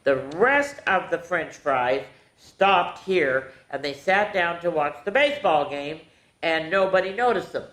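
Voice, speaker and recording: A woman hosting a children's story time, reading a storybook aloud in an animated, playful way with dramatic asides to the young listeners. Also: on the low side, unhurried. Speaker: children's storyteller, show host. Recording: roomy, echoing indoor sound.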